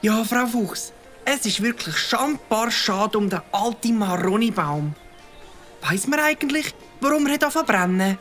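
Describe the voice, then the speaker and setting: A woman's voice: fairly high pitch, animated, normal speed, a bit bright, faint music behind. A woman voicing a curious village woman in a cartoon.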